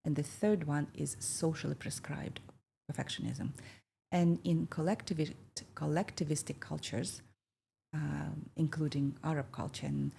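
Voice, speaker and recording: A woman in her fifties talks in a low, gravelly voice with a mellow, dark tone. She speaks thoughtfully and reflectively, pausing often and filling the gaps with hesitations. A faint hiss of noise sits behind her. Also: steady tone. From Kazakhstan. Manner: thoughtful, with many pauses and fillers. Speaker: podcast host. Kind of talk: reflective conversational chat.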